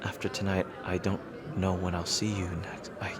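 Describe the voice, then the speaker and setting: A man's voice: low-pitched, flat delivery, moderate pace, over a noisy crowd, very noisy, natural voice. A man playing a lovestruck royal rival in a romantic audio roleplay, playful and yearning, with tender, hesitant delivery. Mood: pleading, yearning. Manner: teasing, hesitant, tender, formal-leaning phrasing. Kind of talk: romantic audio roleplay, intimate ASMR acting. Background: music.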